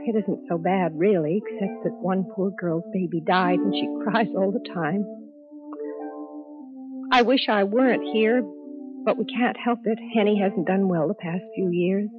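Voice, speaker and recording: A wistful young mother played by a woman confides softly in a vintage radio play. Her voice has a middling pitch and a tender, narrating manner. The old recording is muffled. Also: measured pace, music in the background, natural voice. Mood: wistful, confiding. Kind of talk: 1950s radio drama acting.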